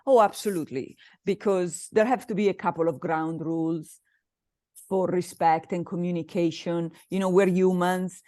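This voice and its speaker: A woman with an Italian accent speaks in a fairly low voice, recorded close and dry. She talks conversationally as an interview guest, pausing and repeating phrases as she explains things. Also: steady tone, mellow, dry recording. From Italy. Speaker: interview guest, business mastermind coach.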